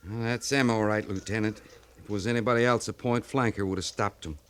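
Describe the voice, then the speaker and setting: An actor playing a loyal veteran American cavalry sergeant, plain-spoken and respectful, in a 1950s radio western. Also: male voice, fairly low pitch, fairly even intonation, quick pace, a bit bright, clean tone, lightly acted. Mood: reflective, respectful. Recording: noisy 1950s radio broadcast recording.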